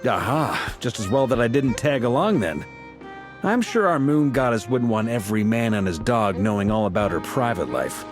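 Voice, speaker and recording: An actor playing a relaxed, friendly veteran knight leader with a wry manner in a game cutscene. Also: male voice, medium pitch, normal speed, close to an everyday voice. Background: game soundtrack music.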